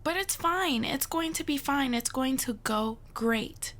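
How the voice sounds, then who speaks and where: A woman's voice: sits high, animated, unhurried, a bit bright, pitched above the performer's own voice. Casting: woman as young superhero teammate, fiery and loyal, anxious but reassuring, for audio roleplay. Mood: nervous, reassuring. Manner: informal, interjecting, reassuring. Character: fiery young superheroine, nervous, protective, blurting. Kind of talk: ASMR roleplay acting.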